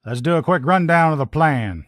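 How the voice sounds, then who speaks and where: A man voicing a gruff, loyal military lieutenant in a video game, with blunt, profane, folksy soldier talk. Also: fairly high pitch, animated, normal speed, no echo, clean studio sound, close to an everyday voice. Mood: briefing, commanding. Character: gruff, loyal military lieutenant in power armor, protective comrade. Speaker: voice actor in a game mod. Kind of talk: video game character dialogue lines.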